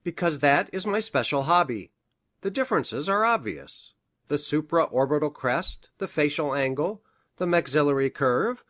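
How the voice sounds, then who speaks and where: An earnest, learned doctor, acted by a woman narrator speaks formally and with emphasis. The voice is pushed well down in pitch and moves animatedly, as a character in an audiobook. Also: expressive, moderate pace, fairly bright tone. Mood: proud. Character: earnest, astonished scholarly male country doctor.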